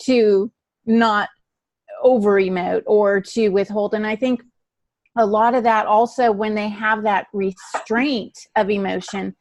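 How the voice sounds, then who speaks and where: Middle aged lady cohosting a podcast, average pitch, chatty storyteller style, really dry audio. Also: steady tone, a little slow, a little mellow, dry recording. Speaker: podcast co-host and novelist. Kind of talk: casual panel discussion with anecdotes.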